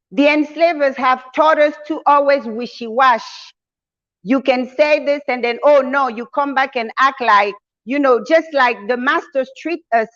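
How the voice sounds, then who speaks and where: A woman's voice: sits high, fairly bright tone, dull audio. A woman hosting a channel, giving an emphatic, preacher-like motivational address to her followers, full of endearments for her listeners. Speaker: channel host, community leader.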